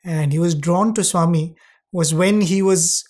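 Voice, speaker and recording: A man tells a devotional story in a relaxed conversational way. He checks in with his partner using tag questions like "right?" and adds touches of gentle humour as he goes. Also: sits high, unhurried. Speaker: satsang co-host.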